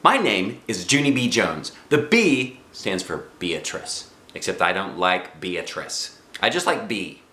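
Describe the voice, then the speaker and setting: A man voicing a self-assured, excitable little kindergarten girl who tells her storybook tale in emphatic childlike grammar. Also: low-pitched, unhurried, hardly any pauses, roomy sound, voice pushed down, exaggerated voice. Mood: chatty, pleased. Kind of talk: first-person children's storybook reading.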